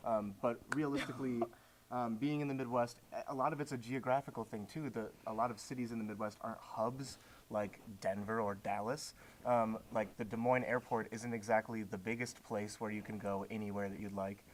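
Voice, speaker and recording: A man's voice: lowish voice, steady tone, brisk, slight background noise. Joking man from Illinois. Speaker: debate panelist. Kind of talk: casual debate.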